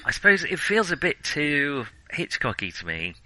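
A man's voice: on the high side, sing-song, moderate pace, bright, forceful tone, no echo, rough recording. A man co-hosting a casual film discussion, hesitant as he thinks his way aloud through his opinions. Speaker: film podcast co-host.

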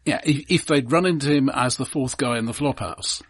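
A man co-hosting a film podcast, explaining his points casually and analytically in a halting way. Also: mid-range voice, husky, dry recording, studio quality.

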